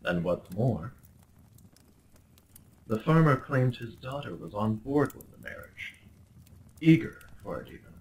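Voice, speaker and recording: A man's voice: mid-range voice, big pitch swings, a little slow, pauses often, darkish tone, slight background noise, low-quality recording. A man playing a young, obsessive medieval prince in a dramatic ASMR roleplay, speaking in courtly, theatrical phrases with a possessive, menacingly tender undertone. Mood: brooding, storytelling. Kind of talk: dramatic ASMR roleplay monologue addressed to the listener.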